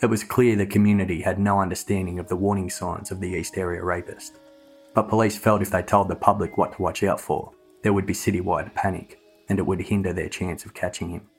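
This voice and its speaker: A man reading scripted true crime narration in a measured, matter-of-fact way. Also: low-pitched, steady tone, slightly rough, soft background music.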